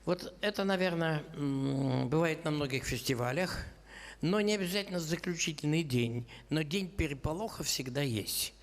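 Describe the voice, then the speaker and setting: A man's voice: on the high side, expressive, normal speed. Wry older man hosting a concert. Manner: wry, teasing, conversational. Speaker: concert host and jazz critic. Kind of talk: joking stage announcements. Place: concert hall stage. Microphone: stage microphone. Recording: hall reverb with audience.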